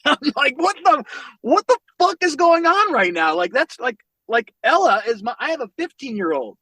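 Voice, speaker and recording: A man in his forties from the American Midwest, a podcast guest telling family stories in an animated, loose way, swearing freely and laughing as he talks. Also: very high-pitched, normal speed, dry recording. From United States, Northwest Indiana. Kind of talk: casual storytelling conversation.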